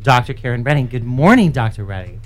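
A man's voice: medium pitch, animated. Chatty man hosting a morning radio show. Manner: chatty, laughing, a little halting. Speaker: radio host. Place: radio studio. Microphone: studio microphone.

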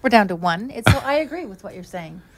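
A woman's voice: mid-range voice, lively intonation, fast talker. A woman in casual conversation, speaking in an agreeable, reflective way.

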